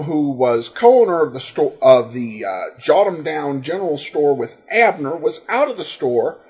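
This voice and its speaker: A male podcast host speaks off the cuff in a somewhat high-pitched voice. His delivery is halting, with many ums and uhs as he works through commentary and listener feedback. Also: darkish tone.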